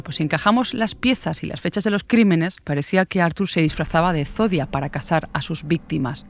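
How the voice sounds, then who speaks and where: A woman with a Spain accent narrates a true crime podcast. She reads a prepared script, telling it conversationally as a journalist presenting a case. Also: fairly low pitch.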